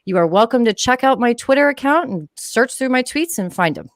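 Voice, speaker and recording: A woman's voice: fairly high pitch, lively intonation, brisk, no room sound. A woman chatting informally as a livestream guest, with some swearing. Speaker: livestream guest, activist live-tweeter. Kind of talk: casual livestream chat.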